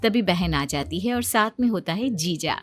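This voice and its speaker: A woman's voice: on the low side, animated, normal speed, faint music behind. A woman narrating a scripted comic moral tale as storyteller.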